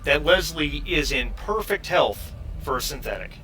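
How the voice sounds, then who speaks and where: A man running a tabletop roleplaying game as game master, halting as he thinks aloud. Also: on the high side, animated, sharp tone, a little hiss or hum.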